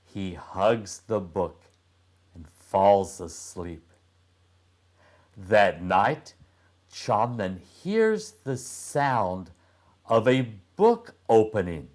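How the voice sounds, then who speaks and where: Male storyteller, children's read-aloud, extremely slow pace, lively intonation. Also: on the low side. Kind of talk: children's read-aloud narration with think-aloud remarks.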